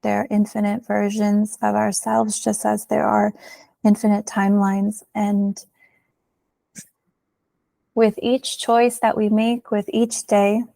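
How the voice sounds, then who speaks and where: A woman leading a guided meditation in a soothing manner, pausing often, with soft phrase endings. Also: medium pitch, flat delivery, creaky, no echo. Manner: slow, soothing delivery with long pauses.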